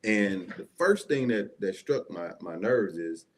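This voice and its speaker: A man's voice: on the low side, lively intonation, a little slow. A man with a Southern US accent, an assistant pastor telling his personal testimony as an interview guest in a folksy, conversational way with frequent check-ins. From Mississippi, United States.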